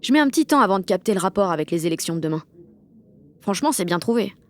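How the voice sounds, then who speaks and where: A woman's voice: mid-range voice, lively intonation, fast, natural voice. An actress with a French accent playing a cocky sixteen-year-old girl who narrates her day in slangy, sweary audio fiction. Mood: brash, excited. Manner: slangy, sweary, punchy inner monologue. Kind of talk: first-person audio fiction monologue.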